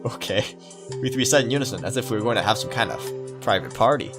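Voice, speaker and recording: A man's voice: lowish voice, lively intonation, quick pace, over music. A man hosting a gaming video, reading a horror visual novel aloud as dramatic narration.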